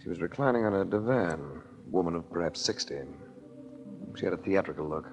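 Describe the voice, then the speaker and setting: An actor with an American accent playing a suave, hard-boiled investigator in a 1940s radio drama, speaking and narrating in a smooth, dry way. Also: male voice, sits low, expressive, brisk, music in the background. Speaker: lead actor and narrator of a detective series. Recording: vintage 1940s radio recording.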